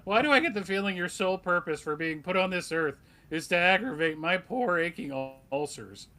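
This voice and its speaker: A man's voice: high-pitched, animated, brisk, pushed and bright. A man playing a jittery, exasperated TV news producer, nagging and sarcastic, in a comic book table read.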